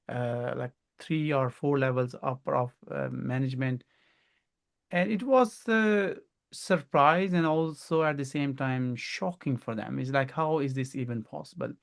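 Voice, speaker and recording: A man's voice: mid-range voice, creaky. A middle-aged man from Pakistan with a Pakistani accent, hosting a conversational interview and often rephrasing as he searches for words. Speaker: podcast host.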